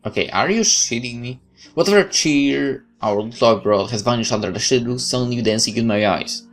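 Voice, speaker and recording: A man's voice: mid-range voice, a little fast, faint music behind. A man playing a game, reading the story aloud expressively and adding casual asides. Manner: expressive, theatrical. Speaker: let's player.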